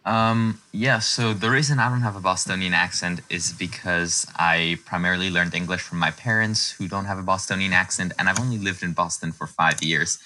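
A man from the United States, answering as an interview guest in a relaxed, explanatory way with many fillers. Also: low-pitched, normal speed, hardly any pauses. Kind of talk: casual interview chat.

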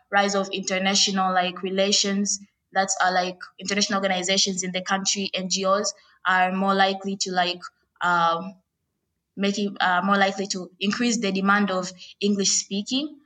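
A woman's voice: medium pitch, level tone, normal speed, a bit bright. A young woman from Tanzania with a Tanzanian accent, answering interview questions casually with many "uh" and "like" fillers. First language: Swahili. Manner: hesitant, lots of "uh" and "like" fillers. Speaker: guest.

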